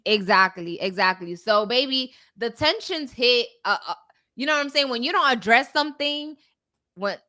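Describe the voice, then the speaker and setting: A woman's voice: on the high side, pushed and bright. A woman casually recapping celebrity news as a host, often checking for agreement. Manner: conversational, often seeking agreement with "right?". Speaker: talk show host.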